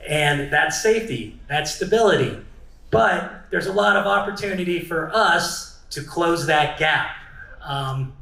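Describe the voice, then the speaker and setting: A man's voice: a little high, sharp tone. A middle-aged man presenting slides to an audience, enthusiastic about the numbers. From United States. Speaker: panel presenter. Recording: echoey event room.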